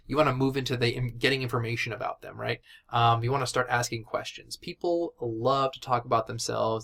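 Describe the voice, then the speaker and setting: A man with a mid-range voice teaches in a relaxed, informal way. He speaks like an instructor chatting directly to his students, loosely and conversationally, often tagging points with a quick check that they follow. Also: mid-range voice. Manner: informal and conversational, addresses listeners as guys, often checks with "right?". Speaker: instructor of a sales and digital marketing course. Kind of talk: casual instructional talk.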